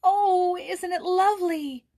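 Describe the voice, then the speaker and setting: A woman's voice: very high-pitched, slow pace, warm tone, pitched above the performer's own voice. A woman voicing a delighted, dreamy, wide-eyed young girl in a children's storybook reading.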